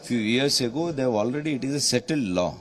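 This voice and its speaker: A man with an Indian accent gives off-the-cuff remarks to the press. His pitch is average, and he builds his points in measured, halting phrases. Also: a crowd in the background.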